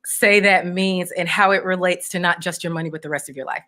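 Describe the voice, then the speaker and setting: A woman hosting an interview, with a polished, steady presenter delivery. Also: fairly low pitch, fairly quick. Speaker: host. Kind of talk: scripted then conversational interview hosting.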